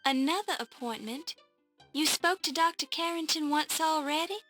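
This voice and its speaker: A woman's voice: high-pitched, moderate pace, fairly bright tone, faint music behind. An actress playing a curious young woman reporter who asks polite, probing questions in a 1990s adventure game. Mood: inquisitive.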